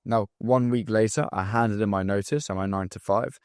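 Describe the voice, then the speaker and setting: Young man, southern English accent, lowish voice, dry close sound, profane, pushy, motivational. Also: steady tone, a little fast, a little mellow, clear, resonant tone, dry recording. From England. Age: 20s. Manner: blunt, profane, hyped-up motivational delivery. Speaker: sales coach. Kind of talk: direct-to-camera pitch video.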